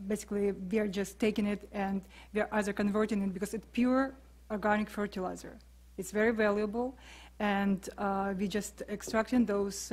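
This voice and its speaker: A woman's voice: mid-range voice, a little mellow. A woman, a panelist answering questions in a briefing room, with frequent fillers. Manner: halting, many fillers.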